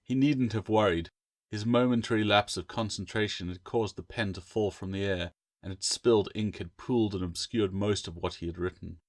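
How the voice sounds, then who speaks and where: A man's voice: lowish voice, moderate pace, no room sound, studio quality. A man reading a story aloud as scripted audiobook narration. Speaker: author reading own novel.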